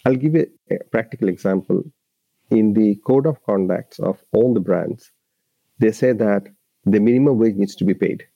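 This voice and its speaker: Bangladeshi man, low-ish voice, earnest explainer, dry close sound, slightly muffled audio. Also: normal speed, gravelly, no room sound. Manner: earnest, explanatory, with frequent restarts. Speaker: labour rights advocate, guest. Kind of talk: interview answers.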